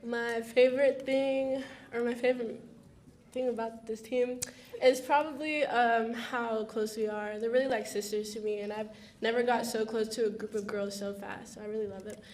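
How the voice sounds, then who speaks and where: A woman's voice: a little high, steady tone, moderate pace, a little mellow. A young woman from Alaska, a college athlete, giving an informal speech at a banquet. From Alaska, USA. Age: young adult.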